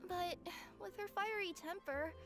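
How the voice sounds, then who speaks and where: A woman's voice: extremely high pitch, measured pace. A woman voicing a cheerful, loyal but anxious young cat-girl courier in a video game. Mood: anxious, pleading. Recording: game music behind the voice.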